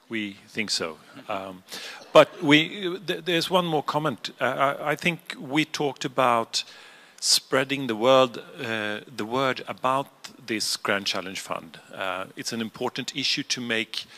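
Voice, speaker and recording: A man giving an impromptu readout for his group, halting and correcting himself as he goes. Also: medium pitch, sharp tone. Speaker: group spokesperson reporting back.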